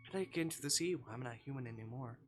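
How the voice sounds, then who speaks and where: A man's voice: medium pitch, very fast, soft background music, natural voice. A man voicing a confused, anxious talking blobfish from a visual novel, questioning and bewildered. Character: confused, anxious blobfish who lost his memory.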